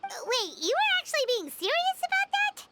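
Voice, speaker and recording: Squeaky, very high-pitched little fairy girl. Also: a little fast, very noisy. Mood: skeptical, plucky. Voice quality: squeaky, childlike. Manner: refers to self in third person, expressive. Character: small excitable floating fairy companion, childlike girl. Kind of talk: animated video game voice acting.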